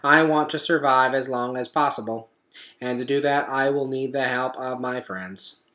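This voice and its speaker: A man voices a gentle storybook robot, earnest and selfless. It speaks in measured, plain and formal phrases at a medium pitch. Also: close to an everyday voice.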